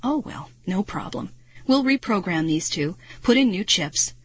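A woman's voice: lowish voice, lively intonation, a little slow, slightly rough, no room sound. A woman voicing a weary, matter-of-fact male inventor in an audiobook, muttering with resignation. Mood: resigned.

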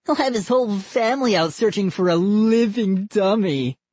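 A smug, giggly prankster boy, as a narrator plays him, bragging about a trick. The voice is pitched well above the reader's own and bounces around a lot. Slightly husky and dry. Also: expressive, normal speed, darkish tone, no echo. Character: boastful prankster boy Vinny.

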